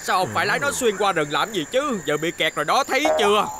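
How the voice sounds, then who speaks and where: A man with a southern Vietnamese accent voicing a chubby, excitable, exclamatory man in a cartoon dub. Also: sits high, quick pace, a crowd in the background. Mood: chatty, confident. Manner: exclamatory, pleading. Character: chubby excitable man, timid and pleading in danger. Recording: loud cartoon music underneath.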